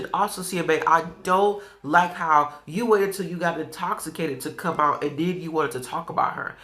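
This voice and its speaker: Blunt scolding man with a bright voice. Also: on the high side. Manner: blunt, scolding, repeats points. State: stuffy nose. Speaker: food vlogger. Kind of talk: casual eating-show commentary.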